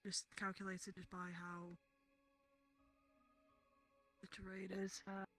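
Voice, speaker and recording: A woman's voice: medium pitch, completely flat delivery, slow, fairly bright tone. A woman talking casually and hesitantly while roleplaying in an online game. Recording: game voice chat with music behind.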